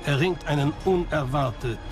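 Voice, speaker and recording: Documentary narrator, man, slightly high register, scripted read over a music bed. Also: normal speed. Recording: music behind the voice. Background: background music.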